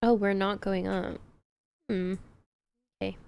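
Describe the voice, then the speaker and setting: A woman's voice: medium pitch, fairly even intonation, takes it slowly, gravelly, a little mellow, clear, resonant tone. A woman streamer chatting casually to herself while she plays a game. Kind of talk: casual gameplay commentary.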